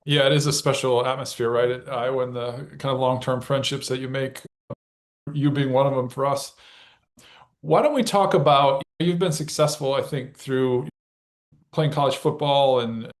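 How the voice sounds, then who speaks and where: A man's voice: medium pitch, fairly even intonation, moderate pace. A man hosting a podcast, welcoming his guest and asking questions in an upbeat, conversational way. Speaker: podcast host. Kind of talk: interview hosting.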